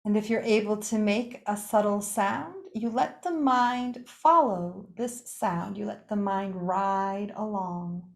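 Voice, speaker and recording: A woman teaching yoga nidra, leading a guided meditation in an unhurried, gently inviting way, with pauses between short phrases. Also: mid-range voice, gravelly. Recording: echoey, roomy sound.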